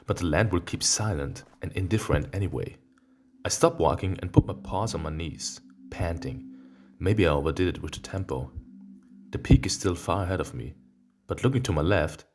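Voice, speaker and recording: A man's voice: low-pitched, husky. A man who is not a native English speaker, reading a visual novel aloud as a let's player and breaking off for sweary, sarcastic commentary. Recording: background music behind the voice. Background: game music.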